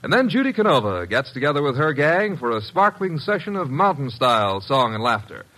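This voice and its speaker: A man's voice: medium pitch, big pitch swings, fairly quick, fairly bright tone, rough recording. A man reading scripted credits and promos as a polished, formal vintage network radio announcer. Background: music.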